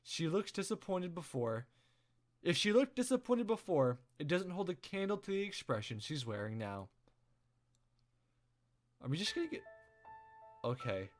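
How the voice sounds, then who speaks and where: A man reading a visual novel aloud as a let's play host, with expressive storytelling narration. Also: sits high, normal speed, long pauses.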